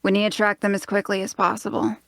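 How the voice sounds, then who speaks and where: A woman playing a flippant, impatient tracker with a brisk, sardonic manner in a fantasy drama table read. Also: medium pitch, level tone, vocal fry, a bit bright, dry recording. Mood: sardonic impatience. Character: blunt, flippant, impatient tracker of an adventuring party.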